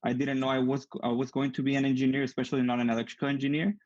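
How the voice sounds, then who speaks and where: A man's voice: mid-range voice, flat delivery, very fast, darkish tone, no room sound, lo-fi sound. Young man with a strong Mexican accent. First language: Spanish. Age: young adult. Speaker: guest, engineering student. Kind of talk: online interview.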